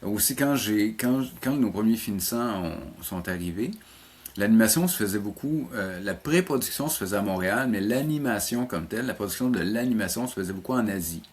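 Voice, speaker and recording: Low-pitched Quebec man, explaining haltingly. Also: lively intonation, husky. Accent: Quebec French. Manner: hesitant, repeats words while explaining. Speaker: college animation teacher and programme coordinator, interview guest. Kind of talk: conversational interview answers.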